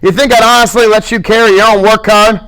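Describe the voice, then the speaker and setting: A small, short-tempered working man who scolds and grumbles in a high, irritable voice, as a male reader puts him on. He talks with gruff impatience in this storybook reading. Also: fairly even intonation, fast, pushed and bright, low-quality recording. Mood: gruff, scolding. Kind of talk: audiobook character reading.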